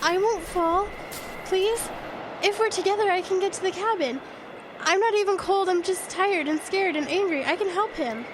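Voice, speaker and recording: A woman's voice: extremely high pitch, normal speed. An actress playing a determined, devoted but frightened woman in a blizzard, urgent and pleading, in a horror audio drama. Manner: urgent, pleading, repeating herself. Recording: noisy wind and effects bed.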